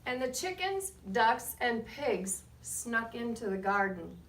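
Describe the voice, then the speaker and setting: Woman doing storytime for kids, expressive reading, roomy echo, bit of background noise. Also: a little high, takes it slowly. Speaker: guest reader at a children's storytime. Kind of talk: read-aloud of a picture book with asides to children. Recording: roomy, distant mic, faint background noise.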